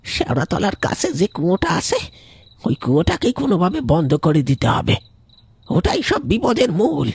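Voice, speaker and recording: A man's voice: high-pitched, wildly swooping pitch, normal speed, darkish tone, slightly rough, no echo, heavily acted. A man playing an anxious villager in a cartoon horror story, talking in a dramatic, hesitant way. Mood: grim, insistent. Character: anxious village man urging action against evil.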